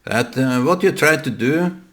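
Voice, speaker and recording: A man with a Norwegian accent, a native Norwegian speaker, talking to the camera in a reflective monologue, halting and full of fillers and restarts. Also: mid-range voice, lively intonation, a bit bright. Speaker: vlogger.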